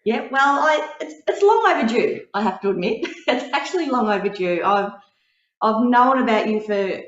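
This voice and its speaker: A woman's voice: a little high, lively intonation, moderate pace. Chatty woman host, roomy lo-fi sound. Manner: chatty, laughs often, restarts sentences. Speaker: podcast host. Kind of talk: casual video interview. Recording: dull lo-fi sound in a roomy space.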